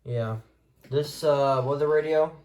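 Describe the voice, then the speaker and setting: A man's voice: fairly high pitch, a little slow, a crowd in the background. A man talking informally and offhand as he shows off a collection, in an unscripted hobby video. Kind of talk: casual showcase of a radio collection, unscripted.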